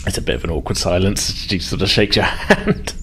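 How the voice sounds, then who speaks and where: A man's voice: sits low, brisk, pushed and bright, slight background noise. A man acting as game master, improvising tabletop game narration in a hesitant, conversational way.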